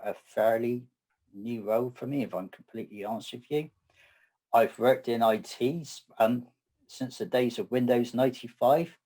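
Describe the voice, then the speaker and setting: A man's voice: mid-range voice, warm tone, rough recording. English man from the southwest presenting. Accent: English, West Country. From southwest England. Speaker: conference co-presenter. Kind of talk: scripted tech presentation.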